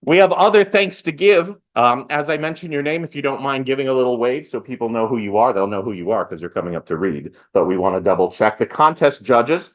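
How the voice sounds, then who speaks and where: A man's voice: mid-range voice, a little fast, few pauses, muffled recording. Man hosting a live awards event. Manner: lightly joking asides. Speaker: event host, master of ceremonies. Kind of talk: welcome speech to a live audience, part scripted.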